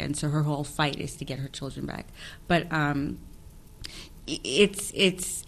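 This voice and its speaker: A woman actress talking about her film in a relaxed interview, thoughtful and quick to laugh, often restarting her sentences. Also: low voice. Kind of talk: casual interview chat.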